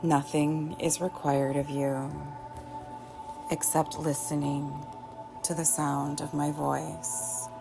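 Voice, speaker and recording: A woman teaching yoga nidra, guiding relaxation in a gentle, soothing way with long pauses. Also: low voice, little pitch movement, gravelly. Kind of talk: guided relaxation meditation. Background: soft background music.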